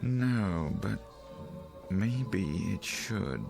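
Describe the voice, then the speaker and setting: Slow, low-voiced urbane newspaperman. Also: lively intonation, vocal fry, music in the background, full character voice. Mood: troubled musing. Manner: wry, theatrical, leisurely phrasing. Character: urbane, musing newspaperman drinking at a bar. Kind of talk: radio drama dialogue.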